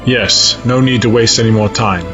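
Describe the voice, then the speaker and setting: A man playing a cold, resolute alien space-fleet admiral in a sci-fi audio drama, speaking in formal, measured, stiff diction. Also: medium pitch, fairly even intonation, highs cut off, music in the background, lightly acted. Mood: firm, formal.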